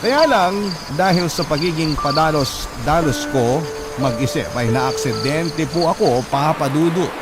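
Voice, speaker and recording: A man's voice: fairly high pitch, lively intonation, a little slow, very noisy. An actor playing a heartbroken young man who earnestly recounts his story in a radio drama, with music behind. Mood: confessional. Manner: earnest, confessional, addresses the host politely. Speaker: letter sender narrating.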